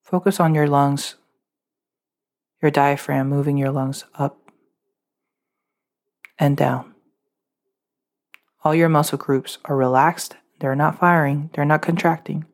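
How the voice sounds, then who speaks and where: A woman leading a guided muscle relaxation meditation, giving calm, unscripted instructions with small fillers. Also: low-pitched, takes it slowly, long pauses.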